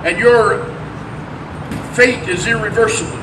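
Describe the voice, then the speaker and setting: A man giving a halting dramatic reading as a hopeless, exhausted prisoner. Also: high voice. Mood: hopeless, grave. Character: hopeless, exhausted prisoner sinking under solitary confinement. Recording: echoey, noisy room from far off.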